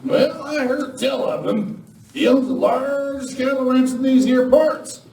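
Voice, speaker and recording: Man acting a high-voiced folksy cowboy. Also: fairly even intonation, a little slow, a little mellow. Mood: folksy, affable. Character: folksy male western sheriff or ranch foreman. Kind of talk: amateur live radio western play. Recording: roomy, distant miking, faint noise.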